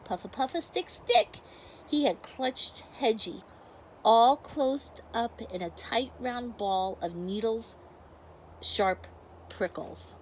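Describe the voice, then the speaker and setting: A woman's voice: fairly high pitch, slow pace, slight background noise. A woman teacher speaking expressively to young children in a story read-aloud with casual asides. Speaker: first grade teacher.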